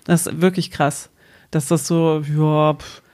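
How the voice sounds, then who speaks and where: A woman's voice: deep voice, level tone, measured pace, darkish tone. Casual woman in her forties, chatting informally. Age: 40s. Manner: informal, colloquial with some English slang. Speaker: co-host. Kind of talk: casual conversational explaining.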